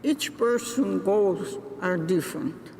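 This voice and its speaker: An older woman from Brazil giving a graduation address, speaking in a deliberate, halting way with pauses and restarts. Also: mid-range voice, expressive, gravelly, darkish tone. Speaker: commencement speaker. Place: large ceremony venue. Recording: reverberant hall with faint noise.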